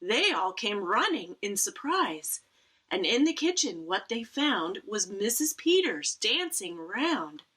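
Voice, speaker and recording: A woman reads a children's story aloud with lively swings in pitch and dramatic emphasis. She takes her time over the lines, and her bright voice has a breathy, husky edge. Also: takes it slowly. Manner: animated, dramatic emphasis with playful asides. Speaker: teacher reading a picture book aloud.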